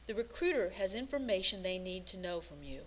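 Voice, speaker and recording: A woman narrates a scripted career lesson as a coach and presenter. Her pitch sits in the middle range for a woman. She speaks in a measured, instructive way, like someone walking listeners through prepared points. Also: animated, sharp tone.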